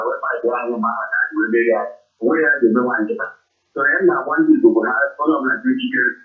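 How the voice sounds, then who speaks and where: A man from Cameroon calling in to a radio stream, talking quickly in Igbo mixed with English. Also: mid-range voice, roomy sound. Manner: rapid, mixes Igbo and English. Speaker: caller. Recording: tinny phone line with faint music.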